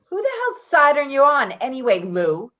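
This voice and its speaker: An actress plays a tough ex-convict woman in a stage drama. She is blunt and quick to bristle, her pitch moving widely, on a slightly dull recording. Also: big pitch swings, sharp tone, heavily acted. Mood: accusing. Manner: blunt, combative, defensive. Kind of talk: dramatic play reading, naturalistic dialogue.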